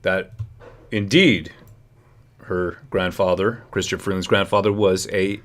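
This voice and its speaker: A man's voice: sits low, steady tone, measured pace. A man hosting a political commentary livestream, speaking emphatically and straight to his viewers. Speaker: livestream host.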